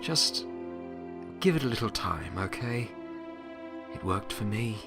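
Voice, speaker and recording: A man voicing a centuries-old pony who was once Death, gentle and wry, speaking in a halting, rueful, reassuring way in an audio story. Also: fairly low pitch, big pitch swings, creaky, music in the background, heavily acted. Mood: gentle reassurance. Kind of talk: dramatic audiobook character acting.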